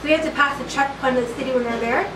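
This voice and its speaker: A young woman telling a story casually, in an animated, slangy way, acting out quotes. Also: sits high, racing. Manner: animated, slangy, dramatic retelling with acted quotes. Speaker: interviewee. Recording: echoey, distant, noisy street recording.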